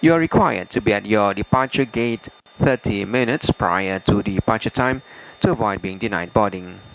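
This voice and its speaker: A man with a Malaysian accent reading formal cabin crew announcements in a halting way. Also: on the low side, fairly bright tone. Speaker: airline cabin crew member. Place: aircraft cabin, over the PA. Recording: faintly noisy aircraft cabin announcement audio.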